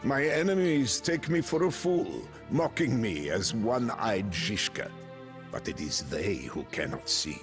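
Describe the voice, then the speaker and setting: An actor playing a grim, proud medieval Bohemian mercenary commander, narrating his story solemnly and deliberately for a video game. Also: fairly low pitch, sing-song. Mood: defiant, proud. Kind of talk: dramatic scripted first-person narration. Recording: clean voice-over with music behind.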